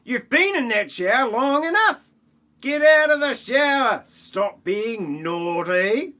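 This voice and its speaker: A man's voice: extremely high pitch, animated, takes it slowly, pushed and bright, exaggerated voice. A man playing a bossy, irritable household grump in an amateur parody audio play, scolding in a blunt, stilted way.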